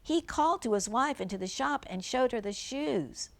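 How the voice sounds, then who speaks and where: A woman's voice: fairly high pitch, animated, normal speed, vocal fry. An older woman reads a children's storybook aloud like a grandmother, adding simple, chatty explanations for a young listener.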